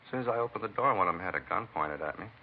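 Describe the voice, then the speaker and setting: A beat-up american truck driver on old radio, deep voice, rambly and folksy, bit of a crank, hissy dull sound. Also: male voice, expressive, racing. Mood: earnest recounting. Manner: rambling, folksy, plain-spoken working man. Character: beaten truck driver, talkative, folksy, eccentric self-styled bump-reader. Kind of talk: 1940s-50s radio acting. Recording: muffled vintage radio recording, faint hiss.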